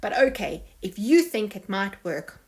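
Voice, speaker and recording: A woman's voice: medium pitch, big pitch swings, measured pace, slightly rough, heavily acted. A woman voicing a lonely, timid young male fish in a storybook, nervous, plaintive and eager to please.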